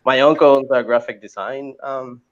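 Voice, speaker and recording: A man's voice: mid-range voice, steady tone, moderate pace. A man in his forties from Puerto Rico, a native Spanish speaker, talking as a guest in a casual interview with many fillers and restarts. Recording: dull lo-fi sound.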